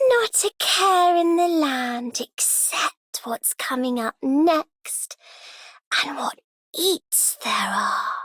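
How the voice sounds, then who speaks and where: Very slow, drowsy little girl. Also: high-pitched, dry recording, full character voice. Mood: dreamy, content. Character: small, sleepy, laid-back girl who likes slacking off. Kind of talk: scripted game character voice line.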